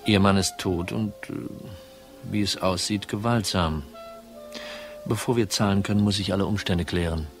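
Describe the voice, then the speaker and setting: An actor playing a cool, dogged insurance detective in a radio crime drama, dry, terse and probing. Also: male voice, deep voice, normal speed, soft background music. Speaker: lead actor and first-person narrator. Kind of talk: radio crime drama acting, noir narration.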